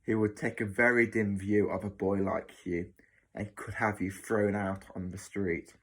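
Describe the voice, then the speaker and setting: A man's voice: fairly low pitch, little pitch movement, a little slow, a bit bright, husky, heavily acted. A man voicing a cruel, bossy hospital matron in a children's story, snapping and mocking with sarcasm. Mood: scornful, snapping.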